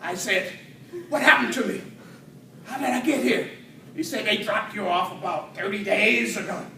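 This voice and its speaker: A man with a very high, bright voice performs a comic tall tale as a speech. He draws words out theatrically, with dramatic pauses and swells. The recording is very roomy and picked up from a distance. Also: creaky. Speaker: speech contest storyteller at a Toastmasters club. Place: indoor room with an audience. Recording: roomy, distant mic, faint noise.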